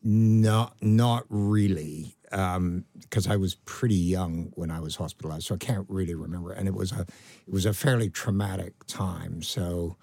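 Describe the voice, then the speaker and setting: Low husky Canadian man in his seventies. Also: moderate pace, no echo. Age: 70s. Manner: rambling with restarts, trailing off quietly. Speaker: guest, medical professor. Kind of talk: conversational interview.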